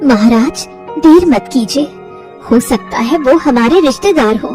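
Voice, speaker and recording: A woman's voice: high voice, lively intonation, a little slow, music in the background. A woman voicing a concerned, curious queen in a cartoon folktale.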